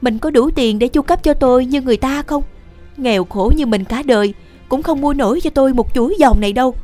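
A woman voicing a cold, greedy young wife in an animated fairy tale, scornful and dismissive. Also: sits high, no room sound, pitched above the performer's own voice, natural voice.

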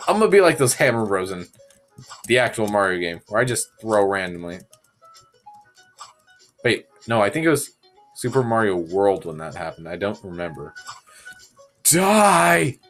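A young man giving goofy, self-mocking commentary while playing a game, sick and sounding deeper than usual. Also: fairly bright tone. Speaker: gamer. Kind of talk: casual gameplay commentary.

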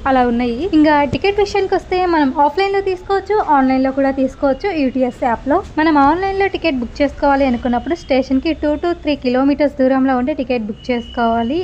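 A woman's voice: high-pitched, a little mellow, lo-fi sound, slight background noise. A young adult woman, narrating an informal travel vlog and mixing in English terms. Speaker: travel vlogger.

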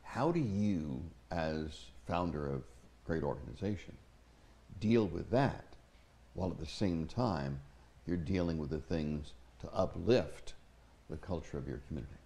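An older man hosts a talk show in a very deep voice with a gravelly edge. He asks measured, emphatic questions in an ornate mansion living room, on a lapel mic. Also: expressive, dark tone. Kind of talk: broadcast interview questions.

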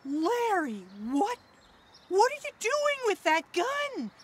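A woman's voice: very high voice, very animated, slow, slight background noise, exaggerated voice. An actress playing a distraught, tearful mother in a radio drama. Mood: alarmed, scolding. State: crying, gasping.

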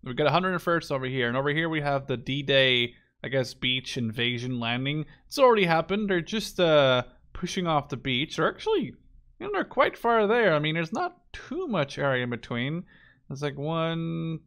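A man's voice: on the high side, big pitch swings, moderate pace, fairly bright tone. Rambling young man doing casual game commentary. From Netherlands. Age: 20s. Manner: rambling, self-interrupting, sweary. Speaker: gaming YouTuber.

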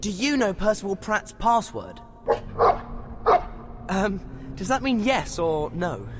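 A man's voice: high voice, huge pitch swings, slow, heavy background noise, full character voice. An actor playing a teenage boy student in a video game who speaks tersely and plainly.

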